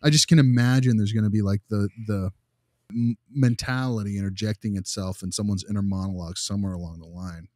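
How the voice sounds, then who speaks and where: A man's voice: lowish voice, fairly even intonation, a little fast, darkish tone, no room sound, clean studio sound. A man talking casually as a podcast co-host, emphatic and often breaking off to restart his thought. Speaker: podcast co-host, behavior analyst.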